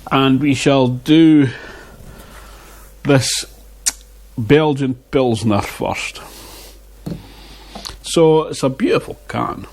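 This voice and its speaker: A man's voice: mid-range voice, very slow, long pauses, darkish tone. A man reviewing beer in a casual vlog, chatting and muttering asides to himself on a lapel mic. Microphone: wireless lapel mic.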